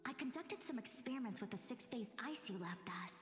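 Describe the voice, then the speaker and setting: An actress playing a composed, courteous wise woman guide in a video game, speaking in a measured, formal manner. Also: a little high, animated, natural voice. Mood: calm, assured. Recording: reverberant game audio with faint music.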